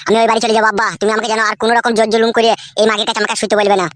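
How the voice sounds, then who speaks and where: A woman's voice: fairly high pitch, flat delivery, racing, no echo, clean studio sound, close to an everyday voice. A woman voicing a hot-headed, complaining married village son in a comic cartoon. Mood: aggrieved. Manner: ranting, complaining to his father.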